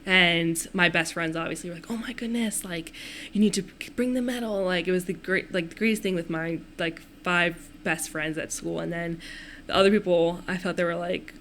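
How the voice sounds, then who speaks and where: A young woman hosting a podcast, chatting casually with lots of fillers and quick agreement, recorded on a desk mic in a small studio room. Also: fairly low pitch, fairly bright tone, a little hiss or hum. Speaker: podcast host. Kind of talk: casual interview conversation. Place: small quiet office studio. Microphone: desk podcast mic on stand.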